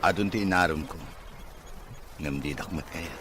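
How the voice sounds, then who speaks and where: A man's voice: low voice, normal speed, background noise, close to an everyday voice. A man playing a bashful, hesitant bachelor son in an audio drama. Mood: reluctant. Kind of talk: Ilocano audio drama acting.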